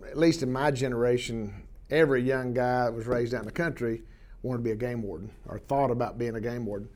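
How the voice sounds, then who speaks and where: Man in his sixties, rambling, unhurried storyteller, lots of uh. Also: mid-range voice, darkish tone. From southwestern Oklahoma. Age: 60s. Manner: rambling, many uh fillers and restarts, chuckles. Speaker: interview guest, wildlife biologist. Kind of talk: relaxed storytelling interview.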